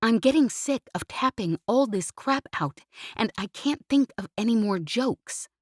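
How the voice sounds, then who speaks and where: A woman voices a cheeky young pilot in an audiobook, pitched noticeably higher than the narrator's own voice. She is relentless and teasing, and the reading is slow and deliberate in a dry, close-miked space. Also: animated, no echo.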